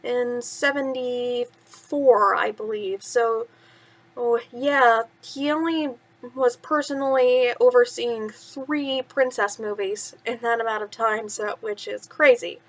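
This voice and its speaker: Woman w a high voice, chatty kids storytime host, expressive. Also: slow pace, low-quality recording. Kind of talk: casual vlog chat and children's bedtime story reading.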